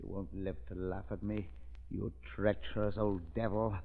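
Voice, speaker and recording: A man's voice: lowish voice, flat delivery, moderate pace, close to an everyday voice. An actor playing a deranged, grandiose villain in a 1930s radio drama, explosive and accusing in his delivery. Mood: furious, accusing. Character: deranged, raging male villain with grandiose delusions. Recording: muffled 1930s radio recording, long reverb.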